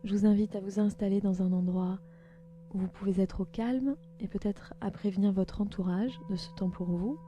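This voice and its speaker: Female meditation guide, calm and gentle, mid-pitched, spaced phrasing, music underneath. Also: lo-fi sound. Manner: calm, gentle, slow instructions with pauses. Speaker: podcast host and yoga nidra guide.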